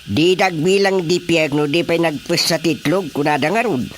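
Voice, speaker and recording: A man playing an excitable, exclamatory village chairman with an Ilocano accent in a radio comedy drama. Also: a little high, moderate pace, slight background noise, close to an everyday voice. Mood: chatty, amused.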